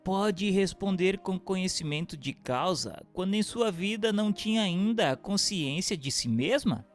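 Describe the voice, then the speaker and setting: Animated male narrator in a reverberant hall. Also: high voice, moderate pace. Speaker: narrator reading questions and notes. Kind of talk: scripted narration of a spiritist book. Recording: long hall reverb, music bed.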